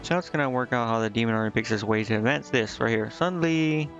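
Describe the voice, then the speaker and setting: A man's voice: mid-range voice, fast talker, gravelly, lo-fi sound. A man doing casual live game commentary as a streamer, hesitantly thinking aloud to himself and reading on-screen text out. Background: game music.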